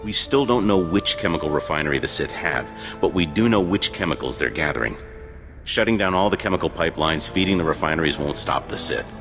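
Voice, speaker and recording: Extremely deep military adviser. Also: male voice, lively intonation, brisk. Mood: matter-of-fact. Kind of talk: video game cutscene briefing. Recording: reverberant room with music.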